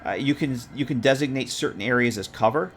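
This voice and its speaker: A man's voice: mid-range voice, quick pace, a little hiss or hum. A tired, congested man streaming live, casually thinking aloud as he works and correcting himself mid-sentence. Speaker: modding streamer. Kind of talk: casual live-stream commentary while working.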